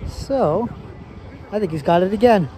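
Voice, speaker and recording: A man's voice: sits high, expressive, measured pace. A young man vlogging in casual, slangy, joking chat and commentary outdoors. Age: young adult. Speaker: vlog host, skier. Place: outdoors on a ski hill. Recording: outdoor phone recording with noisy wind.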